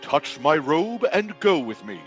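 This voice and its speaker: Radio play spirit: grand and hearty, slow, highish voice with sweeping intonation, soft music behind. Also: male voice, animated, vocal fry, exaggerated voice. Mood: jovial. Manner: declamatory, grandiose. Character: hearty, grand male Ghost of Christmas Present.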